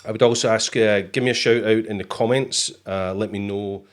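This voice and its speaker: A man's voice: fairly low pitch, fairly even intonation, fairly quick. A Scottish man hosting a casual live show, chatty and joking, with plenty of fillers. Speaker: host. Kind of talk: casual livestream chat.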